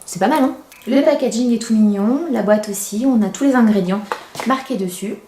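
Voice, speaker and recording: A woman's voice: fairly high pitch, normal speed, dark tone, slight background noise. A woman in her thirties giving a casual, chatty product review as a beauty vlogger, confiding and self-deprecating. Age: 30s. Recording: roomy, echoey indoor sound.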